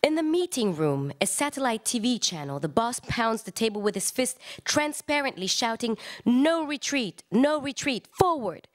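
A woman actor giving an expressive live reading of a literary text, moving between conversational asides and declamation. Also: a little high, moderate pace, hardly any pauses.

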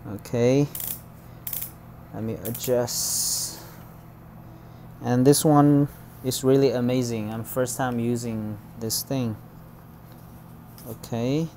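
Halting man talking at an unhurried pace. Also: mid-range voice, a little mellow, slight background noise. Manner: halting, searching for words. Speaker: vlogger. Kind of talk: casual unboxing and first-use demonstration. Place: room at home.

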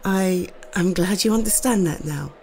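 A British woman playing an affectionate, slightly shy girlfriend in an intimate roleplay, speaking softly and hesitantly to her partner. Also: lowish voice, a little hiss or hum, natural voice. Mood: tender, earnest. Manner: soft, hesitant, tender, with halting repeats.

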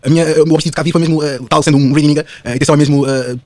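A man in his twenties speaks with a European Portuguese accent at a rapid clip. His casual podcast talk is animated and full of slang, fillers and tag questions. The sound is dry and close, without room echo. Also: on the high side. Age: 20s. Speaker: podcast guest.

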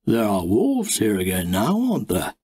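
A man voicing a boastful, blustery father with a booming voice in an audiobook story. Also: huge pitch swings, moderate pace, darkish tone, slightly rough, exaggerated voice.

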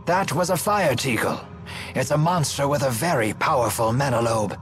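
A man voicing a courtly, wise elderly gentleman in a video game, formal, measured and precise. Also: medium pitch, lightly acted. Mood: composed. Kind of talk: English video game voice acting. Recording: game music behind the voice.

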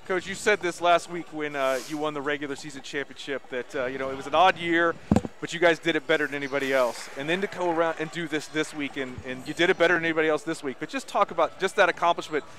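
Fast, rambling sports interviewer. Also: male voice, on the high side. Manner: rambling, long-winded questions. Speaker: broadcast interviewer. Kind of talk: post-game interview questions.

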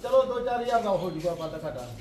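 A man's voice: high-pitched, lively intonation, fast, a little mellow, reverberant, far from the mic. A man with a Punjabi accent, a farmer selling his buffaloes, answering questions in short casual replies with frequent affirmations. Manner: short affirmations, repeats "hanji".

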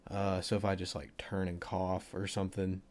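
A young man with a cold and a voice deeper than usual, casually rambling through a football film breakdown with plenty of fillers. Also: completely flat delivery, normal speed. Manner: rambling, offhand, thinks aloud with many fillers.

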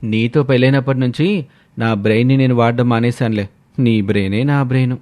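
A man plays a young husband and son in a family tale. He is compliant and hen-pecked, speaking casually at a middle pitch. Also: a little fast, darkish tone, close to an everyday voice. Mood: dismissive, compliant. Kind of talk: dramatised family moral story.

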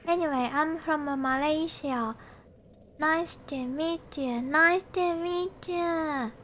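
Female game streamer, Japanese accent, very high voice, slow polite casual chat with viewers. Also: a bit bright, slight background noise. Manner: polite, thanks and greets viewers often. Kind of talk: casual livestream chat while gaming.

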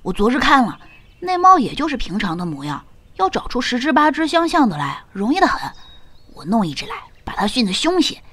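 A woman's voice: fairly high pitch, big pitch swings, a little fast, fairly bright tone. A woman playing an eager, mischievous young boy in a historical audio drama. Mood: scheming, playful.

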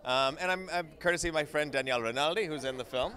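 A man's voice: fairly high pitch, brisk, pushed and bright. A middle-aged man, a director and producer, chatting casually in a dry, tongue-in-cheek way as an interview guest. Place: indoor arts centre lobby. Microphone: handheld interview microphone.

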